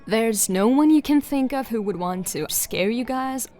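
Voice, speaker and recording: An actress playing an earnest, inquisitive detective who is puzzling over a case in a sci-fi audio drama. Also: female voice, fairly high pitch, expressive, unhurried, mellow, faint music behind. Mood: perplexed. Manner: earnest, questioning.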